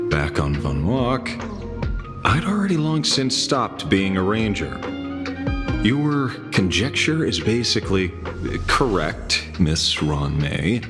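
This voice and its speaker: A very low-pitched, guarded old leader of space rangers for a game cutscene. He talks in a dry, measured, skeptical way, worn down and wary, over clear background music. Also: sing-song, heavily acted. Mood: grim, resigned. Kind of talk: dramatic video game cutscene acting.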